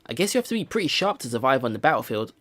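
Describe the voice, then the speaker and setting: A man doing a let's play, reading visual novel narration aloud in an expressive storytelling style. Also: medium pitch, rapid-fire. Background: game music.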